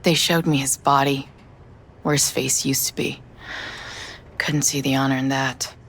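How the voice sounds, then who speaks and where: Weary widowed mother, low pitch, gravelly fry, bitter, game drama. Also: little pitch movement, a little slow, fairly bright tone, a little hiss or hum, exaggerated voice. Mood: bitter, grieving. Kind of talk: video game voice acting.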